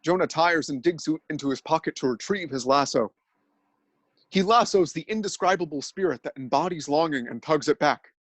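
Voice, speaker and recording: A man narrating a scripted dramatic reading, telling absurd events in an earnest, deadpan way. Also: on the high side, brisk, dry recording.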